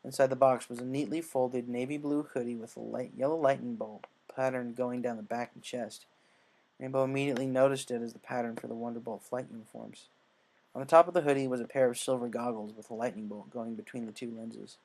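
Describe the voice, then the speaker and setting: A man's voice: medium pitch, fairly even intonation, fairly quick, vocal fry, darkish tone, low-quality recording. A man narrating a scripted fan fiction story as its reader.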